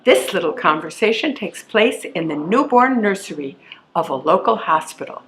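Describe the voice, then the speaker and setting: A woman's voice: lowish voice, expressive, moderate pace, slight background noise. A woman giving a scripted welcome and introduction as presenter of a stage show.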